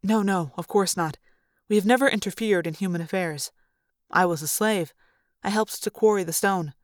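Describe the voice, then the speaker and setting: A woman voicing a gentle ancient android man. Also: medium pitch, dry recording, studio quality, close to an everyday voice. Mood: calm. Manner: soft, measured, earnest.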